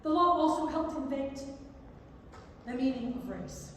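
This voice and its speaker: A woman's voice: high voice, lively intonation, measured pace, slight background noise. A woman giving a staged reading of non-fiction prose, recorded from far off in an echoey theatre. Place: large indoor theatre.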